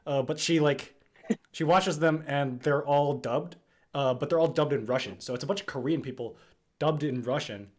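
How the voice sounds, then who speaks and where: A man hosting a podcast, chatting in a relaxed way, cursing casually and laughing as he tells stories. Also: fairly high pitch, moderate pace. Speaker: podcast host. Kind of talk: casual chat.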